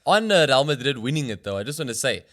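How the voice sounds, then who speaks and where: A man bantering casually about football as a podcast co-host, slangy and animated in his reactions. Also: mid-range voice, fast. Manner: slangy, keeps saying bro, animated reactions.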